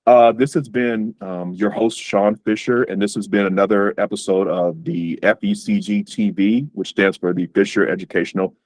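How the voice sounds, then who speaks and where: A man's voice: on the low side, fairly even intonation, moderate pace, hardly any pauses, a little mellow, no echo. A man hosting an interview over a video call, speaking casually with many fillers and repeated words. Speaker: host.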